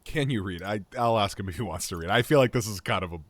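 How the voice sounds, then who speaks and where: A man's voice: on the low side, expressive, fast, dry recording. A man giving casual, chatty live commentary as he streams a game, reacting off the cuff.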